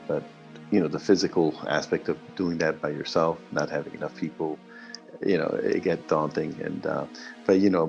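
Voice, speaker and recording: A man's voice: low-pitched, a little mellow. A man from Puerto Rico with a Puerto Rican accent and Spanish as his first language, telling his story as an interview guest in an earnest, meandering way with many restarts. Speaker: guest martial artist. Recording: muffled lo-fi audio.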